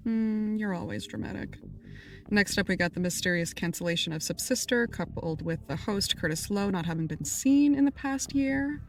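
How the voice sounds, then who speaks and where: An actress playing a casual, teasing podcast producer in a naturalistic audio drama. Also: female voice, mid-range voice, moderate pace, a little hiss or hum, natural voice. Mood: matter-of-fact.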